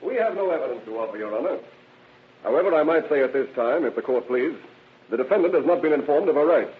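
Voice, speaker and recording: A man's voice: a little high, animated, fast talker, natural voice. Man acting a challenging defense lawyer, thin radio sound. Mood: formal objecting. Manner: pressing, emphatic cross-examination. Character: sharp, challenging male defense attorney. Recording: thin, muffled old radio recording.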